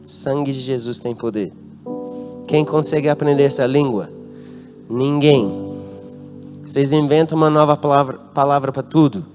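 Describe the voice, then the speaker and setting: A man's voice: medium pitch, fairly even intonation, moderate pace, long pauses, darkish tone, faint music behind. A man from the United States, a native English speaker, preaching a youth-conference sermon with an American accent and drawing the congregation into call-and-response. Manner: interacts with the congregation, asks them to call out answers. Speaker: guest pastor. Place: church hall.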